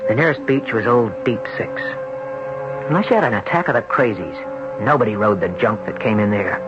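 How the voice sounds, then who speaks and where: A man playing a troubled, weary young surfer who recounts his ordeal dramatically and haltingly in an old radio drama. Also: lowish voice, over music, close to an everyday voice. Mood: weary, brooding. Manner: dramatic, halting first-person storytelling. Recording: dull, very noisy vintage radio recording.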